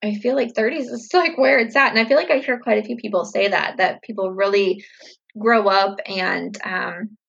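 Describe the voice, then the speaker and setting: Casting: thirties woman, vlogger doing casual Q&A, husky tone, slightly muffled lo-fi recording. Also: medium pitch, normal speed, fairly bright tone. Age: 30s. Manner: upbeat, chatty, reflective with many fillers. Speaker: vlogger answering viewer questions. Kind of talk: casual Q&A chat to camera.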